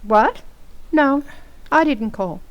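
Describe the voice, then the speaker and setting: A woman as a coy, very slow-talking housewife. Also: sits high, animated, dull audio. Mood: cool, reserved. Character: forgiving, coy American housewife. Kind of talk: old-time radio comedy acting.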